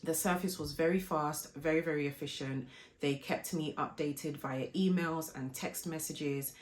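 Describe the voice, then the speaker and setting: Chatty woman vlogger, low voice, flu-hoarse throat, faint room hum behind. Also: steady tone, unhurried. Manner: chatty, polite, storytelling. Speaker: fashion and lifestyle vlogger. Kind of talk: casual unboxing vlog chat.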